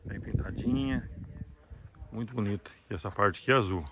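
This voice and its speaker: A man's voice: lowish voice, moderate pace, a bit bright, slight background noise. A man chatting casually to viewers as a vlog host, in a folksy way full of little check-in tags. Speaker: vlog host filming a visit. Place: visit to a rural house and its yard.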